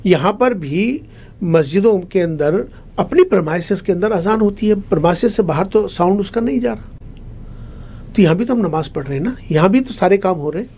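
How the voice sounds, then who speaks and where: A man speaks as an interview guest in a high, raspy voice. He is conversational but emphatic, repeating himself and posing rhetorical questions. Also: expressive, brisk, a little mellow. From India.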